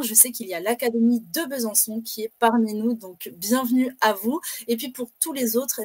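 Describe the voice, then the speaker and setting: A woman's voice: a little high, husky, no room sound. A woman hosting a live careers stream, chatty and bubbly with many fillers.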